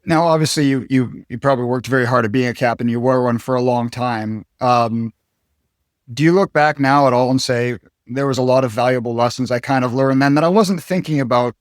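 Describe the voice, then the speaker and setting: A male interview host with a mid-range voice asks long, winding questions, pausing and restarting as he goes. He sounds close to the mic in a dry room. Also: mid-range voice, dry recording. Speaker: podcast host.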